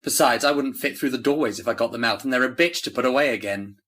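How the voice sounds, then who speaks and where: A young man playing an easygoing, friendly eighteen-year-old in an audio drama, with a wry, relaxed manner. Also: mid-range voice, fast, lightly acted.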